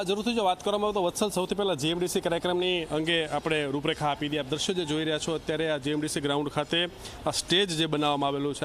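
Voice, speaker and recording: Male live correspondent, rapid wordy delivery, faint outdoor traffic noise behind. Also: on the high side, a bit bright. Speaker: field news reporter. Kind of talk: live on-location report, improvised. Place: outdoors at an event ground.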